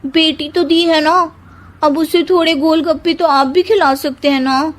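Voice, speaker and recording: Woman acting very high-pitched dramatic young bride. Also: steady tone, measured pace, noisy background. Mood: defiant. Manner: melodramatic, argumentative. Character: young dramatic daughter-in-law, snack-obsessed, cheeky and whiny. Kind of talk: Hindi cartoon story dialogue.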